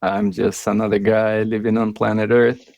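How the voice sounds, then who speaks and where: A man from Brazil, a climber, answering interview questions in a casual, low-key way from a friend's house. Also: flat delivery, moderate pace, gravelly, darkish tone, no room sound, muffled recording. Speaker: climber guest.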